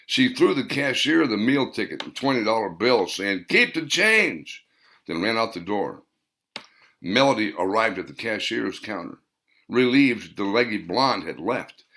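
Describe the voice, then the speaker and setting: A man reading his own novel aloud as an audiobook narrator, in a measured delivery that slows on key phrases. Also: fairly low pitch, lively intonation, fairly bright tone.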